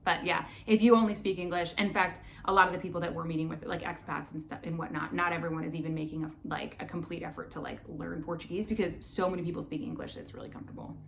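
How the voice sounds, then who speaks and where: A woman's voice: fairly low pitch, steady tone, fast, vocal fry, few pauses, fairly bright tone, a little hiss or hum. A woman co-hosting a travel vlog, chatting casually with lots of fillers and restarts. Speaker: vlog co-host. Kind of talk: casual chat.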